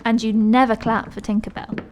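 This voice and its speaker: A woman's voice: a little high, little pitch movement, normal speed, background noise, close to an everyday voice. A woman acting an earnest, whimsical young believer in ghosts in an audio drama, with a theatrical manner. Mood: chiding.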